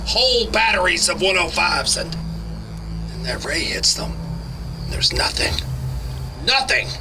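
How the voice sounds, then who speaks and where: A man's voice: high-pitched, big pitch swings, unhurried, far from the mic, over music. A man playing an alarmed army general in a radio drama, urgent and given to barking orders. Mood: stunned, grim. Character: alarmed army general facing alien invasion.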